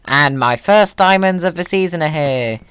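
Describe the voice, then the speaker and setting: A man's voice: on the high side, animated, normal speed, fairly bright tone, clean tone. A man giving playful gaming commentary in a whimsical, silly manner. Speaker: video creator, gamer.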